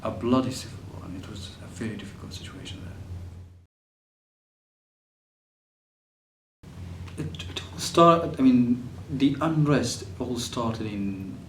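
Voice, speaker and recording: A young man from Syria speaks, recounting his story with pauses, fillers and restarts. He sits some way from the mic in an echoey room, with faint ambient noise behind him. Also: medium pitch, expressive, recorded from a distance. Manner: hesitant, many fillers and restarts. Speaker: refugee telling his story. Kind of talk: personal testimony.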